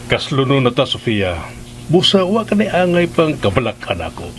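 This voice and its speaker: Gentleman of the house played by a man: mid pitch, very varied intonation, formal, Filipino accent, faint noise. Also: very animated, normal speed, close to an everyday voice. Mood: genial. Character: courteous, self-possessed gentleman head of household. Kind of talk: Philippine radio drama acting.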